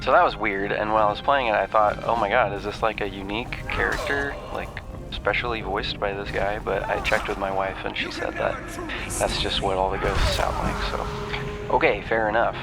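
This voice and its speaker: Male game-recap host, lowish pitch, rambling casual delivery, sweary, music underneath. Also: fairly quick, creaky. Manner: offhand, rambling, swears freely. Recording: music behind the voice.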